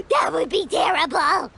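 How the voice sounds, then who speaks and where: A woman voicing a tiny, childlike, excitable fairy companion in a video game, with a squeaky, bubbly delivery. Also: slight background noise, heavily acted. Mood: confused, alarmed. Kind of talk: cartoonish video game acting.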